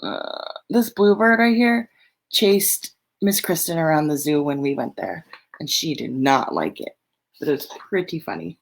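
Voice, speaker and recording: A woman reading a picture book aloud to children in an expressive storytelling way, with casual, chatty asides. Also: sits low, a little slow, gravelly, a little hiss or hum.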